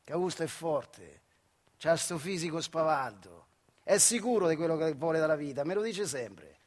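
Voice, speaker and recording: A man's voice: on the high side, sing-song, moderate pace. A man with a central Italian accent from the Marche tells a story as a theatrical monologue in colloquial, emphatic short phrases. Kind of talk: dramatic theatrical storytelling in dialect.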